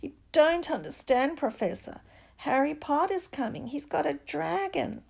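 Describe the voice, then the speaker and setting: A woman's voice: high voice, animated, unhurried, gravelly, darkish tone, pitched above the performer's own voice, full character voice. A woman narrator voicing a whining schoolboy telling tales, pleading and insistent. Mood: indignant pleading.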